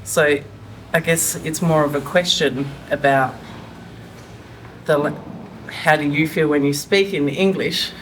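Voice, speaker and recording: A woman's voice: low-pitched, steady tone, measured pace, a little hiss or hum, poor audio. A woman from Australia, asking a question on a panel and searching for her words. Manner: searching, with restarts. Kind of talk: panel discussion.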